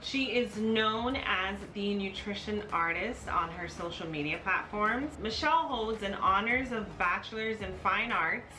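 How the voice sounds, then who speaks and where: A woman hosts her channel with a polished, formal read-through, her voice sitting at an ordinary middle pitch. The room around her is echoey and reverberant. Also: measured pace, hardly any pauses, background noise. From United States. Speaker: dietitian and channel host.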